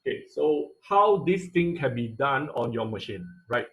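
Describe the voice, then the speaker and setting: A man's voice: mid-range voice, expressive, unhurried, a bit bright, low-quality recording. A man teaching an online robotics class, explaining step by step with many "uh"s and "right?" checks. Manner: explanatory, frequent "uh" and "right?" tag questions. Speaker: robotics instructor. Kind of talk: online class lecture over slides.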